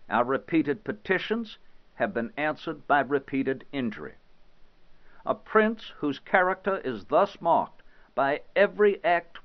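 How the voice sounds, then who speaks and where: An actor playing a solemn, indignant eighteenth-century American statesman, reading a political document in a formal, measured, oratorical manner with weighty pauses. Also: mid-range voice. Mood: solemn, accusing. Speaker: historical interpreter. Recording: slightly dull, band-limited audio.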